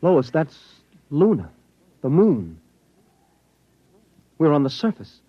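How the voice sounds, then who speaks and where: An actor playing a resolute, protective young rebel in a 1950s radio drama, speaking with earnest dramatic delivery. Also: male voice, on the high side, wildly swooping pitch, slow, breathy edge, no echo, full character voice. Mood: astonished. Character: resolute, protective young male rebel fleeing to Earth's surface. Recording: muffled 1950s radio recording.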